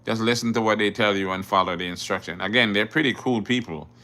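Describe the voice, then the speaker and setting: Man, middle-aged, from Jamaica, bright forceful tone, deep voice, answers viewer questions. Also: quick pace. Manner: reads viewer questions aloud, then answers them plainly; repeats words and restarts often. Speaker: driving instructor. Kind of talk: casual livestream Q&A.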